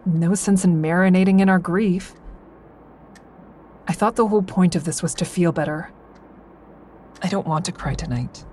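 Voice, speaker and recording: A woman's voice: fairly low pitch, normal speed, long pauses, darkish tone, a little hiss or hum, natural voice. A woman playing a sardonic, anxious insomniac, confiding dryly as she narrates a first-person audio drama. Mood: fed up, grieving. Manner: wry, confiding, dry.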